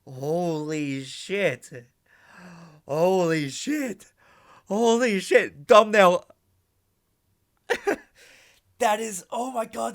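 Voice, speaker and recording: A man giving casual, animated reaction commentary, thinking aloud and prone to swearing. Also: high-pitched, no echo, clean studio sound.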